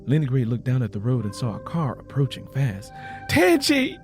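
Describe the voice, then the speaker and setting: A man's voice: mid-range voice, a little fast, dark tone, faint music behind. A man reading a dramatic story as a scripted audiobook narration.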